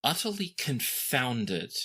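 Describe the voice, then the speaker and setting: A man's voice: medium pitch, sing-song, slow pace, sharp tone, husky. A man narrating a tabletop game as game master.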